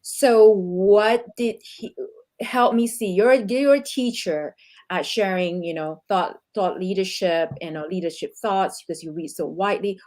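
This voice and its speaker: A woman's voice: mid-range voice, unhurried, a little mellow. A woman from Singapore co-hosting a leadership talk, reflective and asking searching questions about her guest's reading. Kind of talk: conversational podcast interview.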